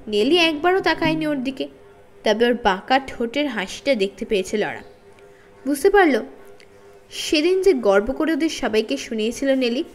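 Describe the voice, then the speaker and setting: A woman reading a children's story aloud in an expressive, dramatized narrating style. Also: sits high. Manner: dramatized, acting out dialogue. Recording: faint music bed. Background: soft background music.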